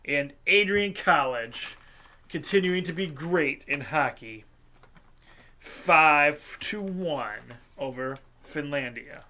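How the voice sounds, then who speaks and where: A congested host, casually reading a sports scores recap with lots of ums and uhs and small jokes. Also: male voice, a little high, expressive, sharp tone, slight background noise. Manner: halting, filler-heavy delivery with light jokes. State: congested, sinusy.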